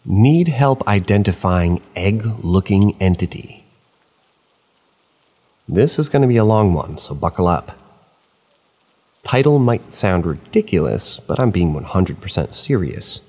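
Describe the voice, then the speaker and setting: A man reading first-person stories aloud at a steady pace, slipping in dry asides of his own. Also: low voice, measured pace, long pauses, breathy edge, big-room sound. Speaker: narrator of online horror stories.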